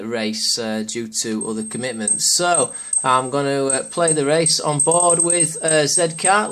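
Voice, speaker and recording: A man with a sore throat commentating an online sim race as host, chatty and getting excited during the action. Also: fairly high pitch. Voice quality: slightly hoarse.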